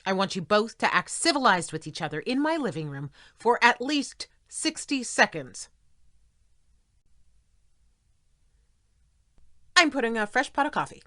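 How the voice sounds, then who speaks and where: A woman's voice: on the high side, very animated, normal speed, bright, forceful tone, no room sound, clean studio sound. An actress playing a firm, exasperated middle-aged mother in an audio play, scolding and coaxing by turns.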